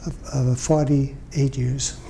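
Hesitant older man, very dark voice. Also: mid-range voice, level tone, slow pace, gravelly, a little hiss or hum. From Portugal. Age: 70s. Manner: hesitant, many fillers and restarts. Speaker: interviewee, veteran. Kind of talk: oral history interview. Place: library room.

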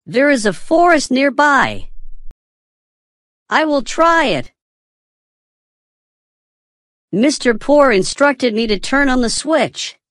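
A woman voicing a whiny, earnest little schoolboy in a scripted cartoon, speaking simply and deliberately. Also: high-pitched, very animated, fairly bright tone, dry recording, clean studio sound, full character voice. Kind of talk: slow scripted English-learning cartoon dialogue.

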